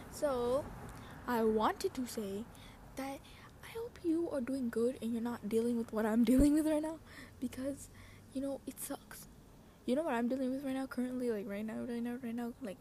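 Young woman, slightly high pitch, casual podcast rambling, slangy, self-interrupting, faint wind and traffic noise. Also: normal speed, warm tone. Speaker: podcast host. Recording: windy outdoor noise, passing cars. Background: wind, cars, ambient noise.

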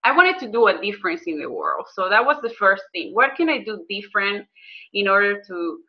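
A woman's voice: medium pitch, sing-song, fairly quick. Earnest woman with a muffled sound. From Venezuela. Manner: earnest, hesitant with frequent ums and restarts. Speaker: guest, health coach and business owner. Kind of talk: conversational interview.

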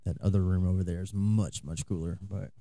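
Easygoing guy cohosting a podcast, low mellow voice, dry close sound, laughs a lot. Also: flat delivery, a little fast. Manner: laughs often, easygoing banter. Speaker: podcast co-host. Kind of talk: casual chat. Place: hot small room indoors.

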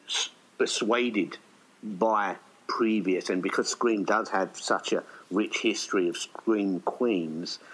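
A man's voice: fairly low pitch, a bit bright, poor audio. A man chatting casually in an interview, rambling and hesitant as he thinks aloud. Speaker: writer-director of an audio play being interviewed.

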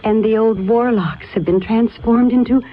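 A woman's voice: on the high side, moderate pace, natural voice. An actress playing a light-hearted young enchantress in love, with a wondering, girlish delivery in a 1970s radio drama. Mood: wondering, delighted. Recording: phone-like old radio recording, faint music.

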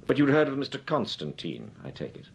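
A man's voice: medium pitch, wildly swooping pitch, brisk, creaky, a little hiss or hum. An actor playing a cool-headed, ironic male amateur detective in a radio drama. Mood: dry. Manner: dry, ironic. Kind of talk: 1940s radio acting.

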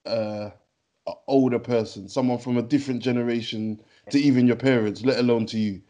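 A man's voice: medium pitch, steady tone, fairly quick, warm tone. A man hosting a podcast interview, chatty and friendly, calling his guest "man" and rambling through his questions. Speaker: podcast host. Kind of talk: casual interview.

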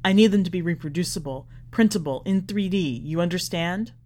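A woman voicing a tender, exacting elderly father in a story, speaking earnestly and deliberately. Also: fairly low pitch, darkish tone, pitched below the performer's own voice, natural voice. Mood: insistent.

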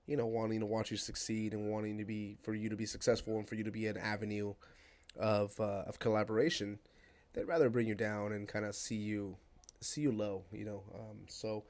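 A man hosting a casual podcast in a somewhat low voice. He meanders through a monologue full of ums and restarts, then trades loud, joking banter. Spanish slips easily into his speech. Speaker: podcast host.

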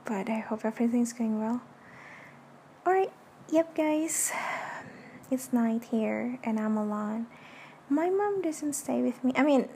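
Young indonesian woman podcasting from bed at night, soft hushed voice, kinda high, faint fan noise behind. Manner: soft, slow and hushed, lying in bed at midnight. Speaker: podcast host. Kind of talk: casual late-night podcast monologue. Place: dark bedroom at night with an electric fan running. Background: electric fan hum.

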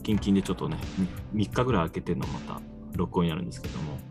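A man's voice: low-pitched, very fast, music in the background. A man chatting casually as the host of a radio show. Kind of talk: casual chat.

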